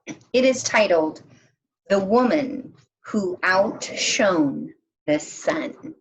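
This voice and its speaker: A woman reading a children's picture book aloud in a measured, expressive storytime manner, as if to young listeners. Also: medium pitch, muffled recording. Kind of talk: read-aloud storytime narration.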